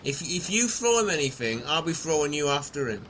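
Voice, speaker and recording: A man's voice: a little high, big pitch swings, brisk, a bit bright, a little hiss or hum, poor audio. A man playing an irritable castaway in an amateur comedy audio drama, talking bluntly with plenty of swearing. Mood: brusque.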